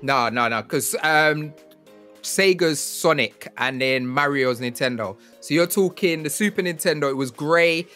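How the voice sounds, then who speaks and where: A man's voice: fairly high pitch, unhurried, bright, forceful tone. Slangy man hosting over music. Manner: slangy, encouraging. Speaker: podcast host. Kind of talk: casual interview chat. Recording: music playing behind the talk.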